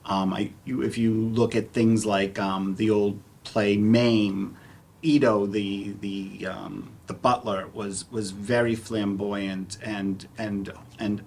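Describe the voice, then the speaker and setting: A man's voice: fairly low pitch, little pitch movement, slow pace, vocal fry, a little mellow, a little hiss or hum. A man talking casually as a panelist at a community theater discussion.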